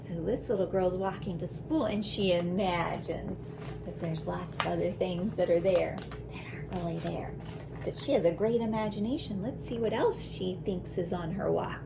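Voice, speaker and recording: A woman's voice: fairly low pitch, moderate pace, vocal fry, background noise. A woman teacher giving a read-aloud phonics lesson to young children, explaining in simple words with examples.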